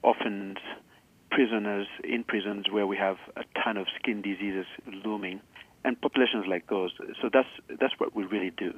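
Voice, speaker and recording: A man from Uganda, a guest on a radio interview, explaining earnestly with some halting restarts. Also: fairly low pitch, steady tone, slightly rough, no room sound. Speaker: guest, charity founder. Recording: phone line.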